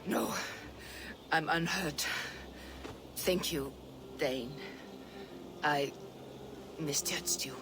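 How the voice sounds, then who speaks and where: A woman's voice: low-pitched, extremely slow, pauses often, noisy background, exaggerated voice. An actress voicing a wary, shaken Saxon peasant woman in a video game. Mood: subdued, grateful. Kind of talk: dramatic video game acting.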